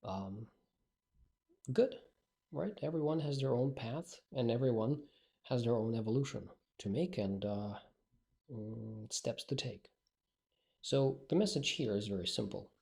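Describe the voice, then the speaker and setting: A man's voice: medium pitch, expressive, a little slow, gravelly, pauses often, a little mellow. A male speaking coach, not a native English speaker, talking bluntly and directly in a solo podcast monologue.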